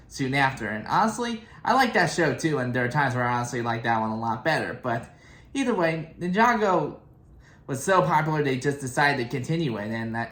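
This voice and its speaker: A young adult man giving casual, rambling fan commentary as a video host, with a halting delivery. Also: mid-range voice, a bit bright. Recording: echoey room.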